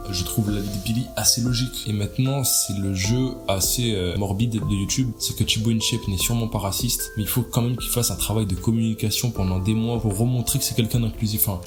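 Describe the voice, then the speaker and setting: A man giving casual commentary to his viewers, chatty and direct. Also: fairly low pitch. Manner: chatty, addresses viewers as "les gars". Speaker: YouTube commentator.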